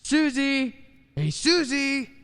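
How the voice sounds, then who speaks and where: A man playing a friendly, animated family friend in a radio play. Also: very high voice, slow, in a large hall, soft background music, heavily acted. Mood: startled.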